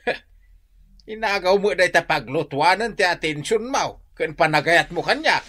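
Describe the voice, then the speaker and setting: A jealous Ilocano husband whining for attention in a radio comedy. His sharp voice swoops widely in pitch and carries an Ilocano accent. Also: expressive, normal speed, heavily acted. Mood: sulking, jealous.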